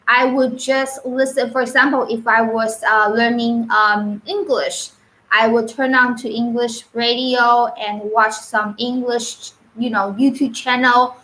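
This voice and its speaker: Slow, high-voiced Mandarin-native woman teacher. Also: a bit bright, a little hiss or hum, rough recording. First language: Mandarin Chinese. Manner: unscripted, halting with fillers and restarts. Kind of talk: live-stream lesson.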